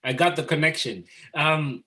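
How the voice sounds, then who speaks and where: A man's voice: a little high, moderate pace, pushed and bright. A man hosting an informal group art discussion, speaking earnestly and affirmingly as he moderates. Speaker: host of an artist talk.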